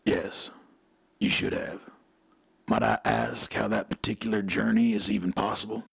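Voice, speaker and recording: An actor playing a suspicious military colonel in a radio play, curt and commanding, with a gravelly, husky voice. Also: male voice, on the low side, fairly even intonation, normal speed, no room sound, studio quality, full character voice. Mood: irritated, dry.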